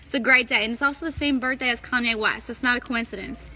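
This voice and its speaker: A woman's voice: fairly high pitch, fairly even intonation, quick pace, pushed and bright, a little hiss or hum. A 21-year-old woman chatting casually and playfully on a phone livestream, reading out viewer comments. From New Jersey, US. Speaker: livestream co-host, wrestler. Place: small indoor room. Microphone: phone camera held close.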